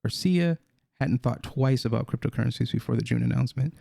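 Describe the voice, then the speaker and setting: A man hosting a video, reading a news article aloud and adding his own comments, halting with fillers and restarts. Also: mid-range voice, vocal fry, dark tone, no echo, studio quality. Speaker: crypto video host.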